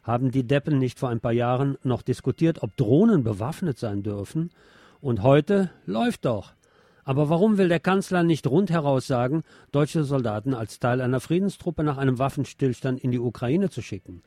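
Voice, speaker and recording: A man's voice: medium pitch, lively intonation, normal speed, mellow, dry recording. A man hosting a radio programme, reading news and press commentary aloud in a wry, sardonic manner.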